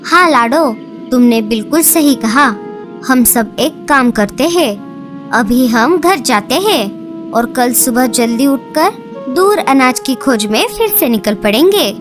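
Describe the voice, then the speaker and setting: A woman with a high, light voice voices the birds in a children's cartoon. Her delivery is slow and sing-song, like a storybook. Soft music plays faintly under her. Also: expressive. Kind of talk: cartoon dialogue acting.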